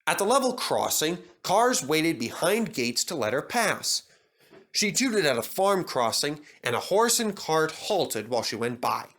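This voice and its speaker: A man narrating a scripted children's story as an expressive storyteller. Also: fairly high pitch, a little slow.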